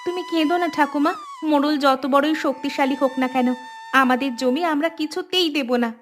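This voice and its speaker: A woman's voice: high-pitched, fairly quick, lightly acted. A woman voicing a stubborn, clever young village girl who speaks firmly and reassuringly in a cartoon story. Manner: firm, reassuring. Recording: soft background music.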